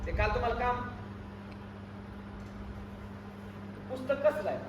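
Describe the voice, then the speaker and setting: A man's voice: very high-pitched, lively intonation, very fast, noisy background. A man with a rural Maharashtrian accent acting a bossy, foul-mouthed labour gang foreman in an amateur stage play, barking orders and sarcastic threats. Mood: threatening. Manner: barks orders and taunts. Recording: echoey hall, distant mic, audience and music.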